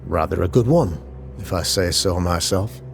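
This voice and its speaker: A pompous, low-voiced colonial governor. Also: male voice, gravelly, noisy background. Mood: solemn, courteous. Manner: formal, measured, grandiloquent archaic phrasing. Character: pompous colonial town governor, older man, solemn and self-regarding. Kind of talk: dramatic video game acting. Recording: music under the voice.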